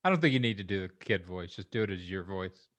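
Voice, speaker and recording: A man's voice: deep voice, lively intonation, quick pace. Casual male comedy coach. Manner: encouraging, casual, hesitant. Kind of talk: coaching a comic.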